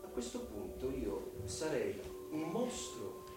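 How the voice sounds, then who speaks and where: An actor playing a scheming, lecherous middle-aged landowner in a radio drama, speaking in a theatrical, insinuating way with pauses and hushed words. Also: male voice, medium pitch, big pitch swings. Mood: pleading, insinuating. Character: scheming, lecherous widowed male landowner, insinuating and self-justifying. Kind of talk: Italian radio drama acting. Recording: very echoey, distant voice over music.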